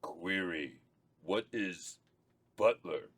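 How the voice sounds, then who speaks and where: Robotic bodyguard butler, performed by a man: very low, forceful gravel, literal-minded, formal, deadpan. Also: drawn-out words, exaggerated voice. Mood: puzzled. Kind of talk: comic table-read acting.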